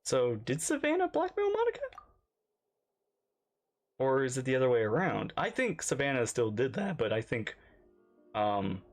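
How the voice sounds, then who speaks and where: Very low-voiced, animated woman streamer. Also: normal speed, long pauses. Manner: exclamatory, thinks aloud. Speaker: reaction streamer playing a game. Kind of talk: casual live game commentary.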